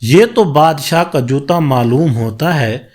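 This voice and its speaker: A man reading an insistent, slow-spoken male trickster. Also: fairly high pitch, a little mellow. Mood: intrigued. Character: suspicious, greedy male trickster in a folk tale.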